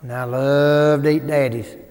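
A man voicing a huge, gluttonous bad bear in a folktale, chanting slowly and menacingly with growls. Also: mid-range voice, level tone, darkish tone, close to an everyday voice. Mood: menacing, boastful. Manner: slow menacing sing-song chant, growling. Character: huge gluttonous bad bear bragging about eating people. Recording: echoey hall with long reverb.

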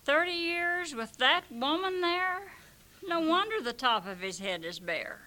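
A woman voicing a stern elderly male saint who keeps a gate and makes indignant, booming pronouncements. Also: big pitch swings, a little slow, bright, forceful tone, heavily acted. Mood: incredulous.

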